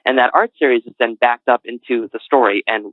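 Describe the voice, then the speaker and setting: A man's voice: medium pitch, a little fast, no echo. Interview guest, man, faint New England accent, earnest and rambling, dry tinny line. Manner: rambling, self-correcting, earnest. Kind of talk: casual interview talk.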